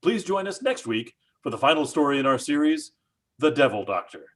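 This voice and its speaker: A man announcing as host of an old-time radio horror show, in a theatrical, drawn-out delivery. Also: fairly high pitch, sing-song, a bit bright.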